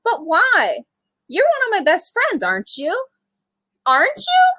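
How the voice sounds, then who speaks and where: Woman voicing an eager, very high-pitched little kid. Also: wildly swooping pitch, a little slow, pushed and bright, no echo, studio quality, heavily acted. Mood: hurt, pleading. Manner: exclamatory, pleading. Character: young children playing, eager and easily hurt.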